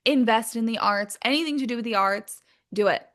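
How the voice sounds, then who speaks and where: A woman's voice: a little high, brisk, a bit bright. A woman chatting casually as an interview guest, candid and informal, swearing freely and using lots of filler likes. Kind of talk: casual chat.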